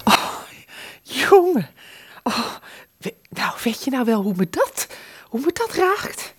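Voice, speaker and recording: A woman playing a sentimental, easily flustered shopkeeper and former singer in a radio play, speaking in a halting, gushing way. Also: high-pitched, big pitch swings, slightly rough, exaggerated voice. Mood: moved.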